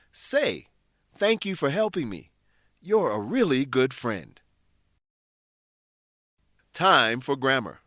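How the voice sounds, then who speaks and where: Man, educational narrator, animated intonation, mid pitch, deliberate teacherly phrasing, dry close recording. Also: no echo.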